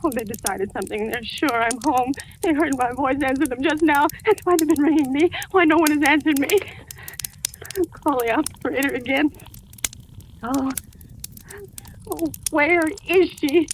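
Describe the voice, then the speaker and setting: A noisy old radio recording carries an actress as a very high-voiced, neurotic wife, bedridden and alone at night. She is haughty and querulous, gasping and pleading as she frays into hysteria in classic 1940s suspense style. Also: normal speed, natural voice. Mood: anxious, pleading.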